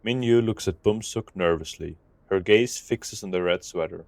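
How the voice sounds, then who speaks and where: Guy narrating an audio drama, scripted, really low voice. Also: moderate pace, gravelly, a little mellow, dry recording.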